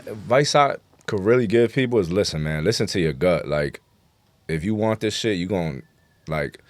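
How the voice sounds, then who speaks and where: A man's voice: deep voice, animated, moderate pace, no echo. A man with a New York accent talking casually and slangily as a rapper guest on a podcast, swearing freely. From New York City. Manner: slangy, profanity-laced, frequent "you know". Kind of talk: casual podcast interview talk.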